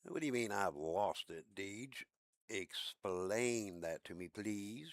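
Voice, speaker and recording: A man with a low voice chatting casually with viewers while streaming a game, in a laid-back, talkative way. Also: takes it slowly, no room sound, studio quality. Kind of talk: casual live-stream chat.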